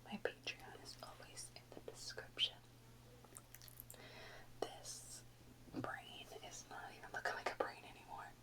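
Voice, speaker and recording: A woman whispering softly and unhurriedly in a casual ASMR chat, close to the microphone. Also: a little slow, long pauses, poor audio. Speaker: ASMR video host.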